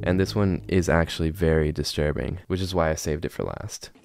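A man's voice: very deep voice, brisk, creaky, darkish tone, soft background music. A man in his twenties giving casual scripted commentary as a video host, close to a studio microphone. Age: 20s. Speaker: YouTube horror video host. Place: bedroom, indoors. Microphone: close studio microphone on a boom arm.

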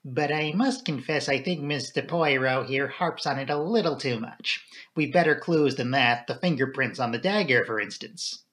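A man's voice: medium pitch, moderate pace, hardly any pauses, fairly bright tone, lightly acted. A man voicing a grave, fussy local police inspector in an audiobook, plain-spoken, blunt and stubborn. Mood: sceptical. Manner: blunt, matter-of-fact, stubborn.

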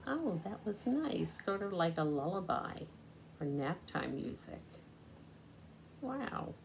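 A woman in her sixties reading a picture book aloud to young children, deliberate and expressive, pausing to explain. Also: on the low side, vocal fry. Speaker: children's storybook reader. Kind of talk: read-aloud storytelling to young children with asides. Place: small living room, indoors. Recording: noisy amateur home recording.

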